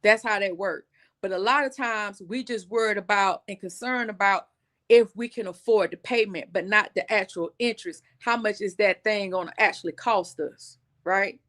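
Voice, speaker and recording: A woman's voice: medium pitch, moderate pace, fairly bright tone. A woman, hosting a casual livestream and explaining things to viewers in a talkative way, often repeating herself for emphasis. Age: 40s. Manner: conversational, addresses viewers as y'all, repeats points for emphasis. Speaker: livestream host.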